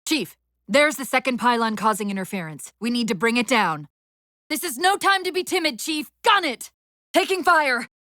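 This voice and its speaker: A female AI partner from a military sci-fi video game. Her voice is high and bright, recorded close and dry. She is brisk and urgent, snapping out tactical orders with a sardonic edge. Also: expressive, no room sound. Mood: urgent, alarmed. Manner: crisp tactical commands with dry wit.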